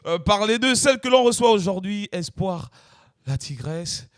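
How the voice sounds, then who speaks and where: A man's voice: high voice, expressive, moderate pace, clear, resonant tone, no room sound, clean studio sound. A man from Gabon hosting a talk show, emphatic and hyping the guest, asking the audience for applause.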